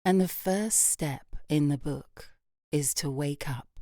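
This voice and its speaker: A British woman guiding a yoga nidra meditation in a soft, gentle, unhurried voice with long pauses, breathy at phrase ends. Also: deep voice, no room sound, clean studio sound. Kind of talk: guided meditation, slow and soothing.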